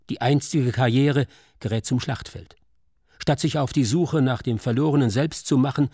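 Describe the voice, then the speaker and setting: Mellow, dry-sounding male narrator. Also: medium pitch, dry recording. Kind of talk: scripted documentary narration for radio.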